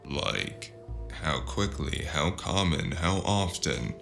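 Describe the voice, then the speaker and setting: A man playing a sardonic, battered spacer in a noir audio drama, with a gravelly voice and a wry, deadpan delivery. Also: rumbling low, takes it slowly, soft background music, heavily acted. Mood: exasperated.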